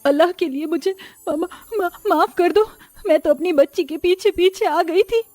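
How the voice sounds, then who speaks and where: A woman's voice: extremely high pitch, moderate pace, a little mellow, no room sound, soft background music. A woman playing a frightened, devout mother in a cartoon story, speaking in a pleading manner.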